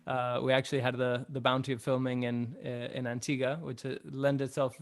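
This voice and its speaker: A young man raised in Chile, a musician guest in an interview, answering in a relaxed, reflective way with many fillers. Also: mid-range voice, a little fast.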